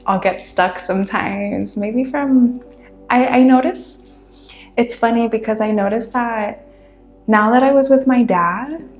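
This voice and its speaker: Woman podcaster, first language Spanish, unhurried rambling chat, laughs a lot, roomy echo. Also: mid-range voice. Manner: relaxed, rambling, laughs often. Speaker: podcast host. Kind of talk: casual monologue. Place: her room.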